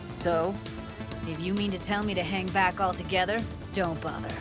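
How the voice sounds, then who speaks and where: A woman's voice: fairly low pitch, fairly even intonation, moderate pace, lightly acted. A woman playing a hotheaded, caring young tech specialist in a video game, with blunt, clipped, slangy talk. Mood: determined. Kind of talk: naturalistic video game acting. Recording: game music under the voice. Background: loud game music.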